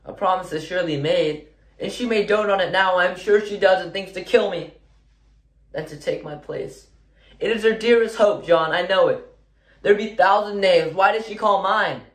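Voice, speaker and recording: A man's voice: sits high, moderate pace, voice pushed up, natural voice. A man performing a stage monologue as an anguished, reserved farmer's wife who bursts out urgently in accusation with archaic phrasing. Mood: hurt, certain. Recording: echoey room.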